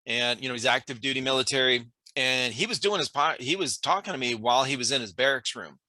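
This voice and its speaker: A man's voice: medium pitch, fast talker, bright, forceful tone, dry recording. An American man hosting a podcast, chatting in a laid-back way and calling his guest "bro". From United States. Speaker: podcast host. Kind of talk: casual chat.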